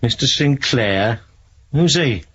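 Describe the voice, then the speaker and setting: An actor playing a wry, deferential detective inspector in a 1970s radio drama. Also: male voice, medium pitch, expressive, slow pace, a bit bright, husky, full character voice. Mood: sceptical. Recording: muffled, noisy 1970s radio drama recording.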